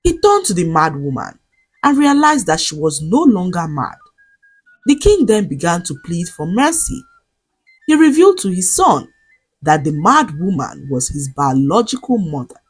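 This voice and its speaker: A woman with a Nigerian accent narrating a scripted folktale in a dramatic, expressive way. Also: fairly low pitch, moderate pace, breathy edge, soft background music, poor audio. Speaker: storyteller.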